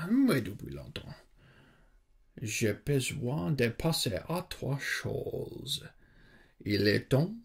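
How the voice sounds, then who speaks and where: A man playing a weary, long-reigning wizard in an ASMR fantasy film, husky, halting and solemn. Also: medium pitch, full character voice. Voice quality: husky, gravelly.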